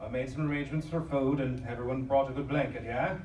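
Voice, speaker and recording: An actor playing a kind male stowaway looking after others, gentle, coaxing and reassuring, in a live audio drama. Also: medium pitch, fairly even intonation, fast, slight background noise, lightly acted. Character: kind, reassuring man caring for fellow stowaways. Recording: echoey live stage from far off.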